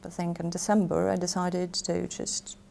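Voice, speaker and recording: A woman's voice: fairly low pitch, flat delivery, normal speed, gravelly, warm tone. A woman from Lithuania with a Lithuanian accent, speaking English hesitantly with filler sounds. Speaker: internal auditor and community page organiser.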